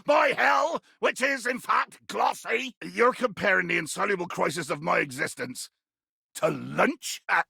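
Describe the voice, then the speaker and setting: An actor playing a tiny, furious, grandiose sea captain in a video game, with a raspy, gravelly voice and theatrical roaring insults. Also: male voice, sits high, sharp tone, no room sound, clean studio sound, heavily acted. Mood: exasperated disdain. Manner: bombastic, theatrical, archaic nautical insults and sudden roars. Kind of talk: video game character acting.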